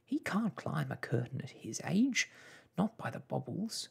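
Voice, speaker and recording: A storyteller voicing a fretful, guilt-ridden mother in a children's storybook, breathy and quavering, with a folksy, lamenting manner. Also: medium pitch, sing-song, unhurried, in a whisper, pitched above the performer's own voice, heavily acted. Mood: fretting. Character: fretful, guilt-ridden mother of a tiny family, tearful scolder.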